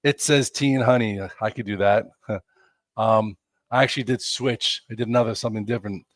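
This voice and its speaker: A man's voice: on the low side, steady tone, brisk, dry recording. A man, congested and losing his voice, hosting a chatty, rambling live stock market show with lots of fillers. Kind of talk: casual live market commentary.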